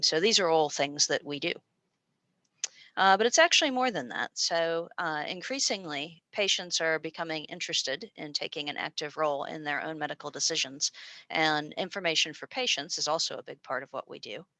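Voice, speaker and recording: Low, bright-voiced American woman presenting. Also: no room sound. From United States. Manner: chatty and informal, with frequent fillers and restarts. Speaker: presenter and postdoctoral researcher. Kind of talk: online information session presentation. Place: small room at a university. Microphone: video call.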